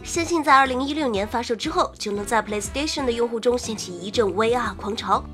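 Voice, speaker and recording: A woman host reading scripted game news in an expressive presenter's manner, slipping in wry, playful asides. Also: on the high side, brisk. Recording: music under the voice. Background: background music.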